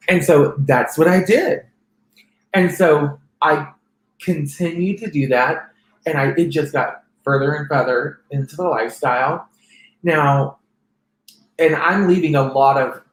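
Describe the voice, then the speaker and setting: Soft-voiced man in an echoey room. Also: medium pitch. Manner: soft feminine-sounding voice; rambling, many restarts and fillers. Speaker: interview guest. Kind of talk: personal testimony in conversation.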